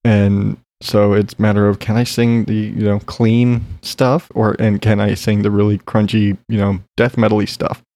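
A man's voice: lowish voice, level tone, moderate pace, few pauses, dark tone, no room sound. A man talking in a casual solo podcast, rambling with lots of fillers and casual swearing, still congested after being sick. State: recovering from illness, congested.

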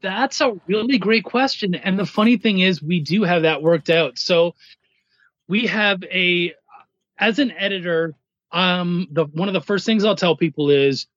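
A man with a notably high voice talks as a guest on a podcast, explaining things in a casual, conversational way. The sound is dry and a little muffled. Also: a little slow, fairly bright tone, no room sound. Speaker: podcast guest, writer and editor.

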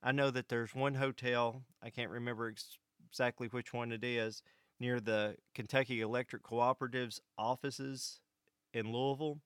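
An American man telling a first-person story to an audience in measured, short phrases, as a vlog host. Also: medium pitch, fairly even intonation, a bit bright, clean tone, no room sound, clean studio sound. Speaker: vlog host and electric cooperative employee.

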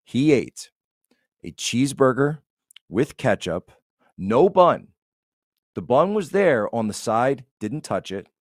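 A man's voice: mid-range voice, sing-song, slow, long pauses, no echo, studio quality. A man giving conversational political commentary as a podcast guest, emphatic and repeating phrases to drive points home.

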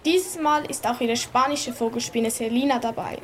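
A woman's voice: fairly high pitch, moderate pace, background noise. A female narrator reading scripted story narration for a children's radio play.

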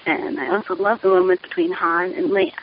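A woman's voice: fairly low pitch, fairly quick. A woman from New York, leaving hesitant, casual listener feedback. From New York, USA. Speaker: listener calling in. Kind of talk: casual chat, audio feedback. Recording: muffled, hissy lo-fi voicemail sound.

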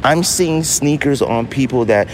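A man with a mid-pitched voice delivers a casual podcast monologue. He is emphatic and leans on repetition, with noticeable noise around him. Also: animated, unhurried, low-quality recording. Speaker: podcast host.

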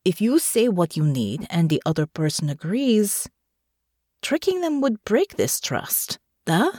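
Woman acting a soft-spoken, earnest farm woman. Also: mid-range voice, big pitch swings, no room sound, studio quality. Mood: calm, probing. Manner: soft, careful, deliberate, formal phrasing. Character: gentle, earnest young farm woman with foreign speech. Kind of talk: audiobook character dialogue.

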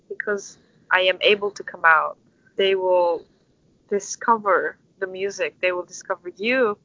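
A woman in her twenties from Istanbul with a Turkish accent, answering interview questions in a thoughtful, halting, conversational way. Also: mid-range voice, bright, forceful tone, no room sound, highs cut off. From Istanbul, Turkey. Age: 20s. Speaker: interview guest, orchestral conductor.

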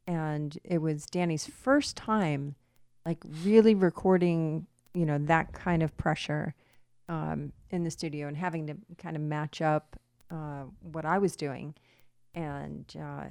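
A woman's voice: low voice, a little slow, creaky, darkish tone, no room sound, clean studio sound. A woman co-hosting a podcast and talking about her songwriting in casual, playful, teasing chat. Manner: playful, teasing, self-mocking.

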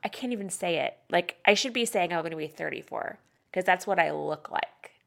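A woman in her forties co-hosting a podcast, chatting candidly and excitably. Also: lowish voice, a little fast, gravelly. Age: 40s. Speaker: podcast co-host. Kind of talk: casual chat.

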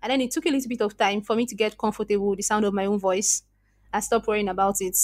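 A woman's voice: medium pitch, fairly even intonation, fast talker. A woman with a Nigerian accent, a podcast guest telling her story in an earnest, reflective way and often restarting her sentences. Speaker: podcast guest, freelance copywriter. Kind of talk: casual interview conversation.

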